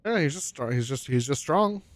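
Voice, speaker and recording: A man's voice: medium pitch, lively intonation, normal speed. A man chatting casually as he commentates on a video game, thinking aloud in loose, rambling asides. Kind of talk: casual chat over gameplay. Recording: game music under the voice. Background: video game music.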